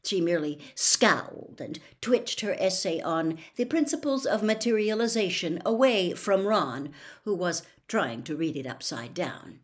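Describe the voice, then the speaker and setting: A woman narrates a storybook as an audiobook reader. Her voice sits a little lower than usual for a woman, in plain scripted reading. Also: animated, measured pace.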